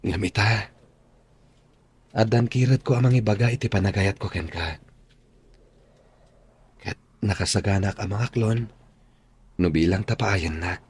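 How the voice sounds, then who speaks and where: An actor playing an earnest, tender young man in an Ilocano radio drama, speaking sincerely and deliberately with an Ilocano accent. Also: on the low side, breathy edge. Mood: tender, pleading.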